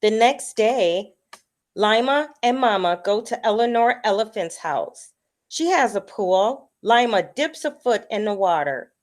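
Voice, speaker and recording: A woman reading a picture book aloud to children in a deliberate storytelling manner. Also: medium pitch, expressive.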